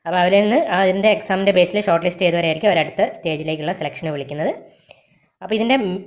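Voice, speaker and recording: A woman's voice: fairly low pitch, racing, lo-fi sound. A woman with a Kerala Malayali accent presents an informational explainer, reading out details in a matter-of-fact way. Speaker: presenter of job vacancy videos.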